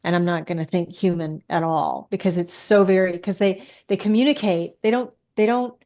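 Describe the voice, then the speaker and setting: A woman's voice: on the low side, normal speed, dark tone, highs cut off. A woman speaking as an interviewed author, chatting informally and telling stories with frequent asides. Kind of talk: casual conversational interview.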